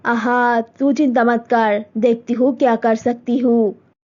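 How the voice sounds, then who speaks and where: Woman voicing an anxious caring mother, cartoon, high mellow voice, slow, lo-fi. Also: steady tone. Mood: soothing. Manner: anxious, soothing. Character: worried mother of the bride, caring. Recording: dull lo-fi recording.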